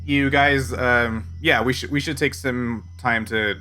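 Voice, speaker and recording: A man's voice: mid-range voice, fairly even intonation, measured pace, sharp tone, soft background music. A man speaking as game master, improvising scene descriptions and rulings in a tabletop roleplaying game.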